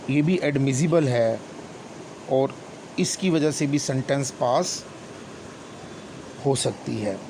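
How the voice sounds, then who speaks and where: A man with a Pakistani accent giving an explanatory law lecture, deliberate and instructional, mixing in English legal terms. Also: a little high, rough recording. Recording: clear steady background noise, hum.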